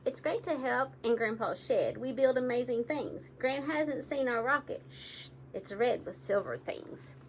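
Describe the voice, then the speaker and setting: Higher-voiced woman reading to children, noisy background. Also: a little slow, hardly any pauses. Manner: animated, engaging, addresses children directly. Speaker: storyteller reading children's books aloud. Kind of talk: expressive read-aloud to young children with questions to the listeners.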